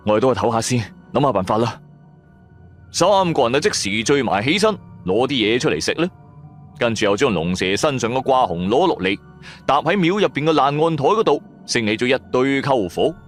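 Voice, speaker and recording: A male storyteller delivers first-person horror drama. His mid-pitched voice rises and falls dramatically in a colloquial, suspenseful style, over faint music. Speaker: audio drama narrator. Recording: music under the voice. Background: background music.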